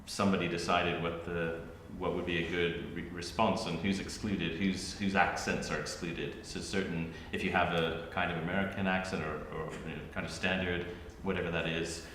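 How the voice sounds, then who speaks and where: A low-pitched man with a bright, forceful edge speaks on an academic panel. He thinks aloud, restarting his sentences and filling pauses. His voice rings through a roomy hall with a long reverb tail and a faint ambience. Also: little pitch movement, a little hiss or hum. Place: university arts centre hall.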